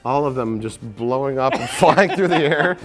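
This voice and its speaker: Male co-host, forties, lively pitch, rambling laugh-filled riffing, faint music under. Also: normal speed. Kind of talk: casual movie chat. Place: dim studio set with curtains.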